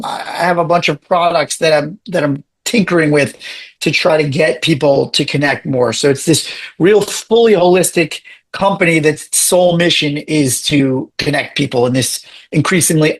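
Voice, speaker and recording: Raspy, animated man chatting as a guest. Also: on the high side. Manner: animated, talks in quick bursts with many restarts. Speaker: podcast guest. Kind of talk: casual interview chat.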